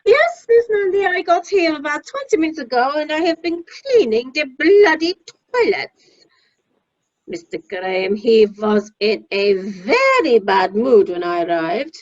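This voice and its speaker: Actress as Polish waitress-cleaner, radio play: high, swooping delivery, Polish accent, emotional and blunt, dull call sound. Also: female voice, big pitch swings, a little slow, exaggerated voice. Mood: matter-of-fact. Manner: deferential, plain-spoken, prone to tearfulness. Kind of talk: amateur radio play acting. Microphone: video call. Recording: muffled lo-fi video call audio.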